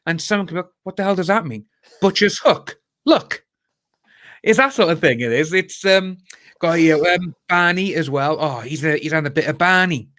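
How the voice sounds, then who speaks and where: A man in his thirties talks with a broad Cardiff Welsh accent in a slightly high voice. He is chatty and jokey, laughs a lot and keeps calling people "mate". He comes over a video call with a dry, close sound. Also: expressive, normal speed, a bit bright, no echo. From Wales. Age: 30s. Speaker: guest. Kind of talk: casual chat.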